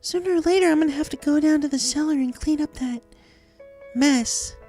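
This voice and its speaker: A woman's voice: high-pitched, fairly quick, dark tone, faint music behind, close to an everyday voice. A woman playing a desperately upbeat, haunted cartoon pony who reads out her diary with earnest, exaggerated cheer. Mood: troubled, earnest. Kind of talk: dramatized diary reading of fan fiction.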